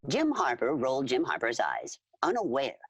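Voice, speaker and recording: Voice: medium pitch, huge pitch swings, moderate pace, slightly rough, dry recording. A performer voicing an earnest insectoid alien in an audio drama, speaking formally and literally in the third person. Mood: pompous narrating. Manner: formal, literal, repeats full names, refers to self by name. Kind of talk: audio drama character acting and narration.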